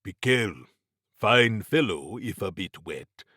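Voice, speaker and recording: A man voicing a wry, erudite gentleman occultist for an audiobook, speaking formally and deliberately with a faintly pompous, understated manner. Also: fairly low pitch, animated, husky, no room sound, clean studio sound, full character voice. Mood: dry, wry. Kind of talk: audiobook character acting.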